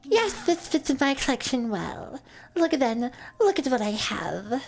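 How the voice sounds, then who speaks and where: A grave, slow-spoken bug relic collector from a video game, read aloud by a woman with a New Zealand accent. The voice sits a touch higher than most, unhurried and solemn, with faint music underneath. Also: sing-song, creaky, exaggerated voice, low-quality recording. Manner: slow, measured, solemn delivery.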